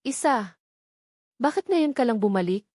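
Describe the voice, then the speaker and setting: A woman's voice: a little high, expressive, a little slow, a little mellow, no echo, studio quality. A woman with a Filipino accent voicing a concerned, urgent female household helper in a novel reading. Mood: urgent, worried. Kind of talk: dramatized novel dialogue.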